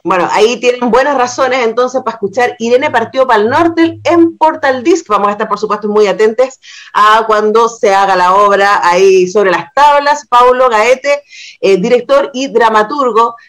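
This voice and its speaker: A woman's voice: fairly high pitch, moderate pace. A woman with a Chilean accent hosting a radio interview in a conversational style. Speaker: radio host, journalist.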